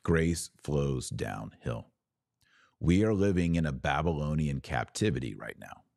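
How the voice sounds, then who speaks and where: A man's voice: very deep voice, lively intonation, a little slow, creaky, a little mellow, dry recording, clean studio sound. An American man speaking as a podcast host, giving earnest, emphatic religious commentary that sits between a sermon and live-stream chat. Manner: earnest, emphatic, builds lists phrase by phrase.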